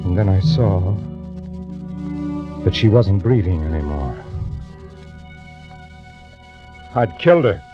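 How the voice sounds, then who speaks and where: An American actor playing a desperate, nervy swindler turned killer, confessing in a tense, dramatic 1940s radio thriller style. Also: male voice, deep voice, animated, a little slow, muffled recording. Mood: panicked. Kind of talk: 1940s radio thriller acting, first-person narration. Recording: old band-limited radio recording with music.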